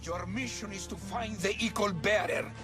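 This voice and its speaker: Man playing a high-voiced, menacing cult recruiter. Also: normal speed, music in the background, close to an everyday voice. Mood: commanding. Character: menacing, calculating male cult recruiter addressing followers. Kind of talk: video game villain acting.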